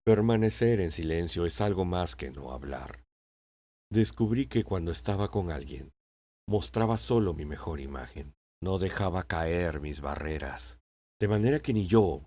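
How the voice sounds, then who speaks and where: A man playing a wise, patient old king in an audiobook, speaking in a measured, sententious way. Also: low-pitched, expressive, warm tone. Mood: patient, explaining. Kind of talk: audiobook character acting.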